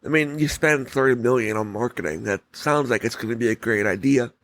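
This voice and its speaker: Man, podcast host, medium pitch, casual solo commentary, halting repetitive phrasing. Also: a bit bright, no room sound.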